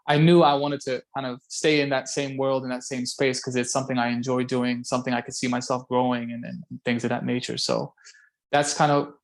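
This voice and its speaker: A man's voice: medium pitch, creaky. A man in his thirties from Belgium, a guest talking casually over a video call, thoughtful and often repeating words as he searches for them. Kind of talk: casual conversation. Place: home room by a window.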